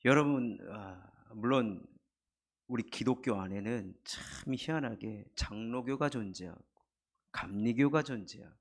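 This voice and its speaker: A middle-aged man preaching a Sunday sermon in a church, his voice hoarse and husky from a cold, building earnest emphasis. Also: medium pitch, measured pace. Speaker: pastor. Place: church sanctuary.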